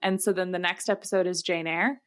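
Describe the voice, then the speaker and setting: A woman co-hosting a podcast in casual conversation, pausing often mid-sentence. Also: lowish voice, steady tone, a bit bright. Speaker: podcast co-host.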